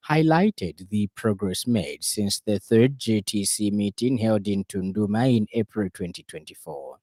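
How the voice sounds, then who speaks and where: Male radio news reader, Zambian accent, low mellow voice, dry studio sound, formal bulletin script. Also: no echo.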